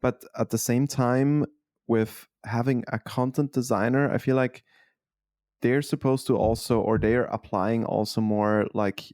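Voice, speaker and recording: A man co-hosting a podcast interview who asks questions in a measured way, pausing to search for words. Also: mid-range voice, fairly even intonation, darkish tone, no echo, clean studio sound. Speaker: podcast co-host.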